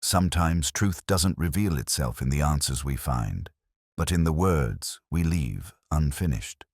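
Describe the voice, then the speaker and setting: A man playing a brooding, literary police detective, narrating a mystery in a measured, reflective, melancholy manner. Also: extremely low pitch, expressive, darkish tone, no room sound, clean studio sound, full character voice. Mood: somber, reflective. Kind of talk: slow scripted first-person mystery narration.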